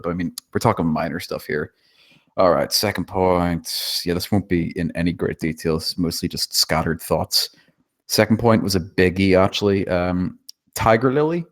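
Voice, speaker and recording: A man giving spontaneous script feedback, thinking aloud in a hesitant, self-deprecating, hedging way. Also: low-pitched. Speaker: table read participant giving script feedback.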